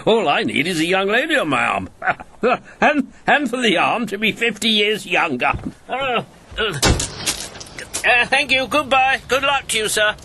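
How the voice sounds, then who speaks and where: Jovial old rogue, very lively intonation. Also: male voice, high voice, heavily acted. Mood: jovial, exuberant. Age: 70s. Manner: chuckling, roguish, theatrical. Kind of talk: radio drama acting. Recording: radio drama with clear background noise.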